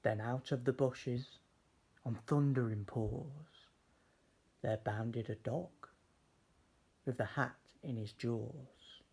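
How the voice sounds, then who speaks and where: Very slow, gravelly male storybook reader. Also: medium pitch, warm tone. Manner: measured, dramatic storytelling. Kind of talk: expressive children's story narration.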